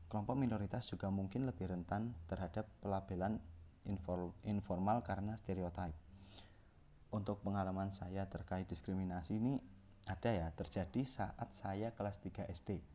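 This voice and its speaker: A young man with a low, mellow voice reads through a course presentation. He keeps tripping over words and restarting them as he goes. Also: steady tone, normal speed, slight background noise. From Central Java, Indonesia. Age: young adult. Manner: reads from notes with frequent self-corrections and restarts. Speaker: communication student presenting.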